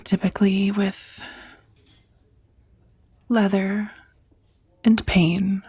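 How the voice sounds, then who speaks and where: Casting: actress as sheepish yet teasing dominatrix for ASMR date roleplay; very breathy, soft near-whisper, close dry mic. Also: female voice, medium pitch, little pitch movement, very slow, no room sound, exaggerated voice. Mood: sheepish, hesitant. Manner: hesitant, confiding, teasing, with sighs. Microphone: close, dry ASMR microphone.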